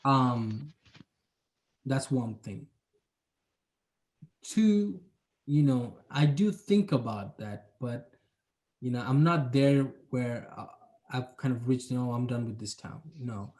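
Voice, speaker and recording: A man's voice: mid-range voice, lively intonation, slow pace, long pauses, a little mellow. A young adult man from Bangladesh, talking about his planning job in a casual interview, reflective and hedging with many fillers. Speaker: urban planner, guest.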